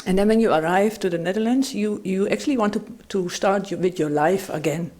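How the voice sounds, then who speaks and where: Mellow-voiced woman panel guest. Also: mid-range voice. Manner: thoughtful, many fillers and pauses. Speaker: panel guest, medical anthropologist. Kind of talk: studio talk show discussion. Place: TV studio.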